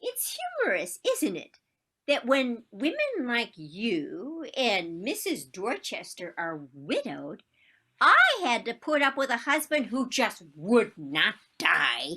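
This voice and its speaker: A woman acting a wistful, romantic elderly woman in a stage play, with dramatic, deliberate delivery. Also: fairly high pitch, very animated, sharp tone, slightly rough, exaggerated voice. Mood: wistful, confessional. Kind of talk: one-act stage play performed on a video call.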